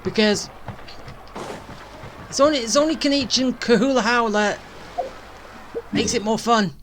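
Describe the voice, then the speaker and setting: A woman's voice: fairly high pitch, a little slow, a little hiss or hum. A woman doing casual, playful gameplay commentary, thinking aloud and reacting to dialogue. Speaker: gameplay streamer. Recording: game music behind the voice.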